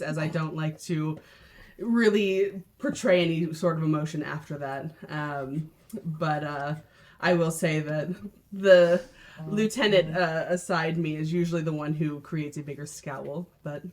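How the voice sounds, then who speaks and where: A woman playing a tabletop game, describing her character with many pauses and fillers. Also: low voice. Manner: hesitant, filler-heavy. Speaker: player and producer. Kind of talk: casual tabletop chat.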